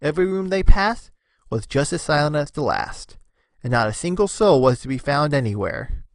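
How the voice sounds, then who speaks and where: A man's voice: a little high, animated, normal speed, no echo. A man narrating a scripted story expressively, as the narrator of an audio fan fiction.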